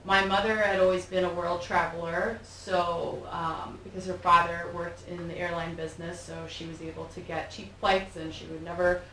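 A young woman born in Switzerland and raised in the US, telling her family story in an unhurried interview. Her voice is bright and slightly low, heard from a bit of a distance in an echoey studio. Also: slight background noise. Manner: relaxed storytelling. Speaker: guest. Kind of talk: casual interview chat.

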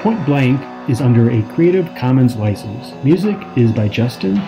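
Guy doing scripted podcast credits, medium pitch, loud guitar playing behind him. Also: lively intonation, moderate pace, vocal fry. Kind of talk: scripted outro announcement. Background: loud guitar music.

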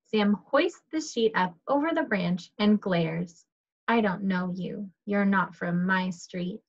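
Woman teacher, medium pitch, muffled audio. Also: takes it slowly. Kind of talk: picture book read aloud for children. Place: indoors, on a group video call. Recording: dull, muffled video call audio.

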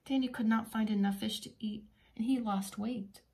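A woman preschool teacher reading a children's picture book aloud in an expressive, teacherly way with simple asides. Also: on the high side, normal speed.

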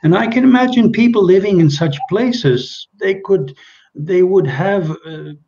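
A man's voice: high-pitched, a little slow, a little mellow, lo-fi sound, a little hiss or hum. An older man from Kenya whose first language is Czech, speaking as a guest on a video call interview and trailing off into many hesitations and restarts. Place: small indoor room at home. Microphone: webcam on a video call.

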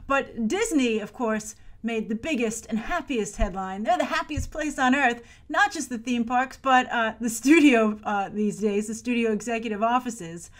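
An American woman in her thirties with a fairly high voice presents movie business commentary. She talks chattily to the camera as a show host, leaning hard on key words and stretching some of them out for emphasis. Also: moderate pace, hardly any pauses.

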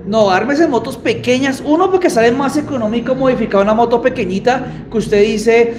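A man's voice: extremely high pitch, brisk. A man in his thirties with a Colombian accent, hosting a casual live-stream chat in an informal, emphatic way. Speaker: host. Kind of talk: casual live-stream talk, announcing a championship. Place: small motorbike workshop, indoors. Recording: echoey room with clear background noise.

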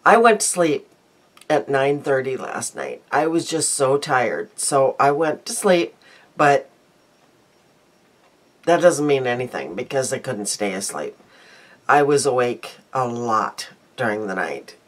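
A woman's voice: extremely low pitch, sing-song, unhurried. An older woman chatting casually to her webcam in a small room at home, rambling and restarting her sentences, her nose congested. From Midwestern United States. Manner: rambling, self-interrupting, chatty. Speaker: beauty vlogger. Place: small cluttered room indoors. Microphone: webcam at desk.

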